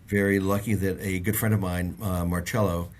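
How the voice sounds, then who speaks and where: A man's voice: low-pitched, little pitch movement, moderate pace, a little mellow. A middle-aged man from the Bahamas, a guest on an interview show, telling long stories with lots of fillers and restarts. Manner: rambling, many fillers and restarts. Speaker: auto industry guest. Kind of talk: casual interview chat.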